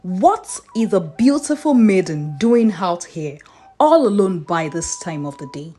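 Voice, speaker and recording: A woman's voice: on the low side, big pitch swings, unhurried, warm tone. A woman voicing a confident, teasing, mysterious male hunter in a folktale, cocky and amused.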